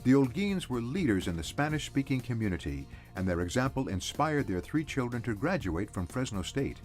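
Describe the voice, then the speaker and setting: A man reading a scripted biography narration in a steady, informative way. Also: mid-range voice, a little fast, soft background music. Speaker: video narrator.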